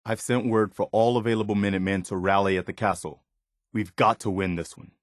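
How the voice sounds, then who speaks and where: An actor playing an earnest, loyal militia lieutenant in a video game, sincere, respectful and soldierly. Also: male voice, lowish voice, steady tone, fairly quick, no echo, studio quality, close to an everyday voice. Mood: grave, resolute. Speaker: companion character in a video game.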